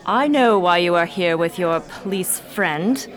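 A woman playing a haughty, coolly threatening female villain in a radio drama, speaking deliberately. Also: on the low side, expressive, some chatter behind. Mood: cold menace. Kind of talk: amateur radio drama acting.

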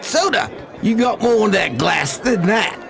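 Cocky young bar lout, male actor, high creaky drawn-out delivery, slangy and needling. Radio drama. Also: sing-song, over music, a crowd in the background, full character voice. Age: young adult.

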